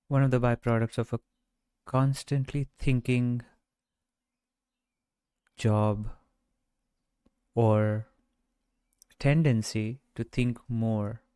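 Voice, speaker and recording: A man guiding a meditation in a gentle, measured way, speaking off the cuff with long pauses. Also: medium pitch, steady tone, smooth, dry recording, clean studio sound. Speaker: meditation guide. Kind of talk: guided meditation, unscripted talk.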